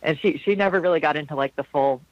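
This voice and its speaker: A man in his forties with a slightly husky voice, pitched a bit higher than most men, tells meandering stories as a guest in a casual interview. He restarts his sentences often. The sound is dry and close, with no room around it. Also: fast talker, no echo, dull audio. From South Florida, USA. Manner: rambling, warm anecdotes with frequent restarts and laughs. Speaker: jazz singer, guest.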